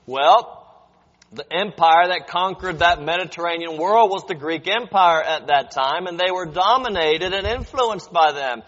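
A man with a high voice who preaches slowly and deliberately, teaching as he goes and pausing to ask his listeners questions. His voice rings with a long hall reverb, and the recording sounds dull and muffled. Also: fairly bright tone. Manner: explanatory, addresses listeners with rhetorical questions. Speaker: preacher teaching a Bible lesson. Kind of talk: sermon.

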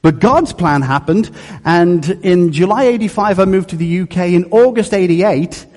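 A man in his fifties from Dublin, with a softened Dublin Irish accent, preaching a sermon in a joking, conversational, storytelling way. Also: sits high, normal speed. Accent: Dublin Irish, softened by years in England. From Ireland. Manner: conversational, jokey, animated storytelling. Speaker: pastor. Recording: echoey hall.

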